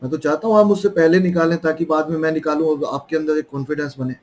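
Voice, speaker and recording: A man's voice: a little high, fairly even intonation, fast, darkish tone, a little hiss or hum. A young man with an Indian accent and a sore throat, teaching a math lesson in a coaxing way and calling his students children.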